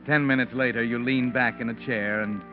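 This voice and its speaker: An actor playing an ominous, taunting mystery narrator in a 1940s radio drama, speaking in a knowing, deliberate way. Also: male voice, mid-range voice, steady tone, natural voice. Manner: knowing, deliberate, sinister storytelling. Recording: muffled vintage radio recording with music.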